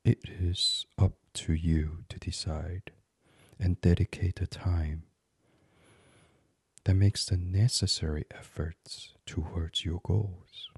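Male sleep meditation host: hushed, very husky and breathy, unhurried, gentle, recorded close and dry. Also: low-pitched, fairly even intonation, no echo. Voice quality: breathy, husky, partly whispered. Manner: soothing, hushed, gentle, with many pauses. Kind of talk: guided sleep meditation, soft ASMR reading.

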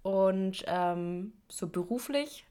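A woman's voice: medium pitch, expressive, extremely slow, dark tone, clean tone. A 24-year-old woman chatting casually as the co-host of a podcast.

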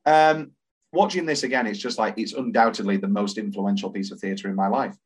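A man's voice: on the low side, steady tone, brisk, breathy edge. A man co-hosting a podcast, chatting enthusiastically about musicals and reciting lyrics aloud. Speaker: podcast co-host. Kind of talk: casual chat about musicals.

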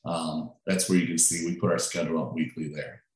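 Deep-voiced man in an echoey room. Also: brisk. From Peru. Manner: relaxed, chatty, with frequent restarts and fillers. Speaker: food truck owner and chef. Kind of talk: interview answers.

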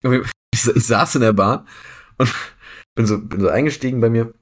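A man's voice: mid-range voice, moderate pace. Young Berlin man chatting in casual slang. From Berlin, Germany. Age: young adult. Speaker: podcast co-host. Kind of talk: casual chat, anecdotes.

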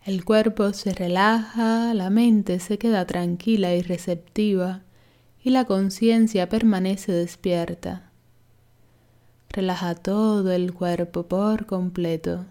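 A woman leading a guided relaxation meditation as a yoga instructor, calm and soothing, giving gentle instructions. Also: mid-range voice.

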